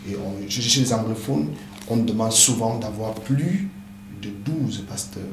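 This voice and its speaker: A man's voice: on the low side, unhurried. A man who is a pastor and a native English speaker, earnestly explaining things in an interview with many hesitant fillers. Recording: echoey room with background noise.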